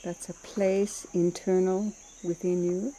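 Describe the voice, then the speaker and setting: A woman with a very soft, dark and relaxed tone guides a mindfulness meditation. She speaks slowly and soothingly, leaving gentle gaps between phrases, in a slightly low voice. Insects chirp audibly behind her. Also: slight background noise, rough recording. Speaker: meditation teacher.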